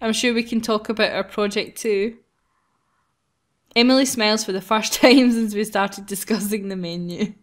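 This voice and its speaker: A woman's voice: mid-range voice, steady tone, moderate pace. A woman hosting a gaming video, reading a visual novel aloud and breaking into casual banter.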